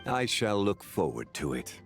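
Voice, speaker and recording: A man voicing a composed, courteous scholarly gentleman with formal, measured, erudite phrasing in a video game. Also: on the low side, big pitch swings, soft background music. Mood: gracious.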